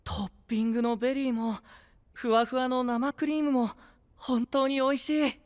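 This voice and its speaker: An actor playing a gentle, innocent, princely young man in an anime-style voice drama, kindly and guileless. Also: extremely high pitch, fairly even intonation, moderate pace. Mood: happy, gentle. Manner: soft-spoken, guileless, kindly. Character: gentle, innocent, warm-hearted princely young male knight.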